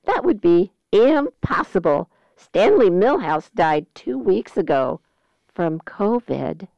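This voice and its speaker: A woman's voice: mid-range voice, big pitch swings, slow, vocal fry, no echo, full character voice. A woman playing a formal, matter-of-fact emergency phone operator in a comic radio play. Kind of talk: comic radio theater acting. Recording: muffled, band-limited dry sound.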